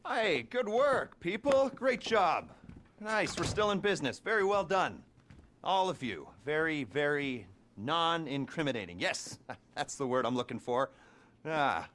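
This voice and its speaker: A man's voice: medium pitch, fairly bright tone. An actor voicing a nervous, rambling middle-aged criminal planner in a video game, wry and hedging as he briefs a crew. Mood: nervous, giddy.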